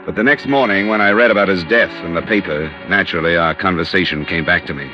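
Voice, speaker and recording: An actor playing a sober, dogged American insurance investigator in a 1950s radio drama, with a measured, matter-of-fact delivery. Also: male voice, sits low, music in the background, natural voice. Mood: sober narrating. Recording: dull, lo-fi old radio recording.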